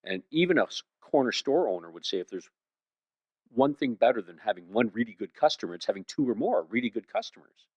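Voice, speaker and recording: A man with a Canadian accent speaking at a conference in a measured, conversational way as he answers questions. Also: mid-range voice, sing-song, a little mellow. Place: indoor conference room. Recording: roomy, dull lo-fi sound.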